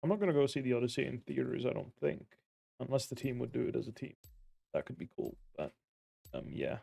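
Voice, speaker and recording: A man streaming a game, casually answering viewer questions in a relaxed, friendly way. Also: mid-range voice, fairly quick, gravelly, dry recording. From Belgium. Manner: relaxed and friendly, with easy fillers.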